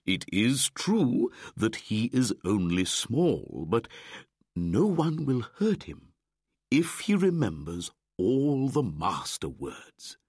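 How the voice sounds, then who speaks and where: Patient old storybook bear, slow and lively in pitch; male narrator voicing it. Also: husky, no room sound, studio quality, exaggerated voice. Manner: slow, deliberate, warmly instructive.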